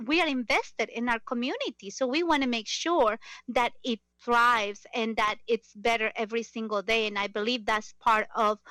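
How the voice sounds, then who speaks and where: A woman's voice: on the high side, a bit bright, dry recording. A middle-aged woman hosting a radio interview show. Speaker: talk show host.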